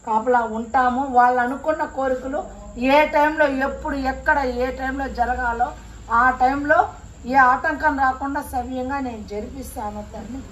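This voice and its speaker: An actress playing a gentle, all-knowing village mother goddess who speaks softly and consolingly. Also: sits high, steady tone, moderate pace, reverberant, a little hiss or hum, close to an everyday voice, rough recording. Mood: preaching. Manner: soft, consoling, sermon-like.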